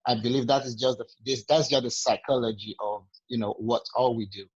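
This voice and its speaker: A man's voice: medium pitch, fairly even intonation, fairly quick, husky, no echo. Nigerian man with a dull, dry sound. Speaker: sales agent. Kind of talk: casual group training talk.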